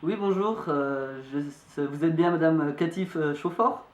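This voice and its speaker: A man playing an amateur investigator, speaking politely and haltingly as he asks questions. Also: a little high, lightly acted. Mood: polite, insistent. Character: young male amateur investigator, polite, insistent, hesitant. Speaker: amateur investigator presenting a video. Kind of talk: hesitant spoken phone inquiry. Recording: echoey room.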